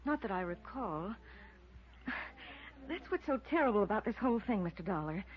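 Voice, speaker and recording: A grieving American widow played by a woman, polite and bewildered. Her subdued voice sits at a middle pitch. It has the dull sound of a vintage radio play. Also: sing-song, normal speed, lightly acted. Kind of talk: 1950s radio drama acting.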